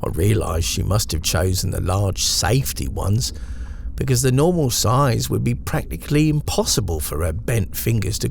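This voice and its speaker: A British man reading a first-person horror story in a measured, reflective way, as a storyteller. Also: fairly low pitch, gravelly, slight background noise.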